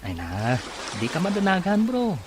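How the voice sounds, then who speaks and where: A man's voice: high-pitched, wildly swooping pitch, noisy background. A man with an Ilocano accent playing an easygoing seaman in a radio drama. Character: easygoing seaman at sea, joking with crewmate. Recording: loud rushing water sound effects.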